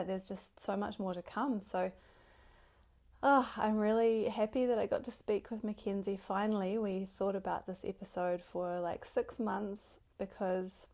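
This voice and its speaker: A woman hosting a podcast, speaking alone in a grateful, gushing way with fillers and soft laughs. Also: mid-range voice, creaky. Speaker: podcast host. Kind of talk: solo podcast introduction.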